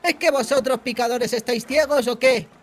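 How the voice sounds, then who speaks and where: A man's voice: very high-pitched, normal speed, slight background noise, voice pushed up. A man voicing a brash, streetwise young bandit girl with a defiant, slangy manner in a fantasy audiobook. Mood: defiant, angry. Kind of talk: audiobook character voice.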